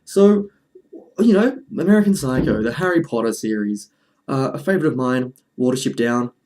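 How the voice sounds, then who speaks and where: A man presents a casual video commentary in a mid-pitched voice. His delivery is dry and jokey, and he often interrupts himself as he talks. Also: expressive, moderate pace, a little mellow. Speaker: video host.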